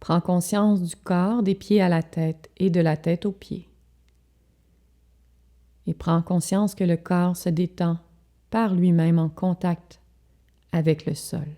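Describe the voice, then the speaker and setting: A woman guides a relaxation meditation in a very soft-edged, mellow voice. Her pitch sits a little low and her delivery is very slow. She speaks calmly in short, measured phrases, leaving pauses between them. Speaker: yoga nidra instructor.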